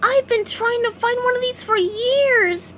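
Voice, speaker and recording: A woman voicing a cheerful, excitable male sea sponge from a cartoon storybook, squealing with excitement. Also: extremely high pitch, unhurried, a little hiss or hum, voice pushed up. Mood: excited.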